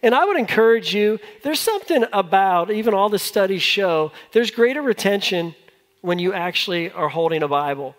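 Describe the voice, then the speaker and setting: A man's voice: high voice, animated, normal speed, a bit bright. A man preaching as a pastor in an informal, conversational style, repeating phrases for emphasis and putting questions to his listeners. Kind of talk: conversational sermon. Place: large church hall with a congregation. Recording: long hall reverb.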